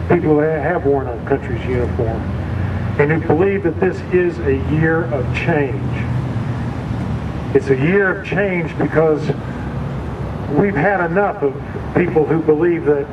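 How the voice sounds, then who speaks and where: Guy giving an outdoor podium speech, kinda halting, lots of uhs, far off mic with traffic hum. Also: fairly high pitch. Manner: earnest, halting, with many fillers. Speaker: supporter speaking at a campaign event. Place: outdoors at a memorial. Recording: distant mic, dull sound, outdoor noise.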